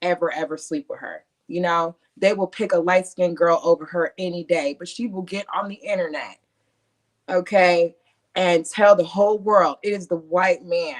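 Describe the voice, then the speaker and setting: A woman's voice: lowish voice, steady tone, normal speed. A woman guest on a podcast, talking bluntly and emphatically in casual chat.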